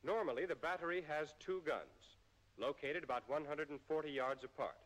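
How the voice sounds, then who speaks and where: Higher-pitched American male narrator, reverberant film sound. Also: fairly bright tone. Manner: formal, measured, precise enunciation. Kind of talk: scripted military instructional narration, 1940s newsreel style.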